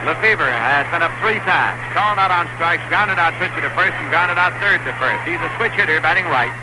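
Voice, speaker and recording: 1960s radio baseball announcer, man. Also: a little high. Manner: measured, steady play-by-play calling. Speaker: play-by-play announcer. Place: stadium broadcast booth. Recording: 1960s AM radio broadcast with stadium crowd noise. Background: crowd murmur.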